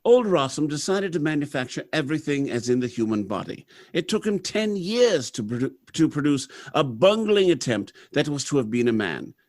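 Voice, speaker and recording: Man playing high, animated, brash factory boss. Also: normal speed, few pauses. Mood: amused, lecturing. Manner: theatrical, confident, expository. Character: brash, proud robot factory manager, unsentimental man. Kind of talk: live recreation of 1930s radio drama.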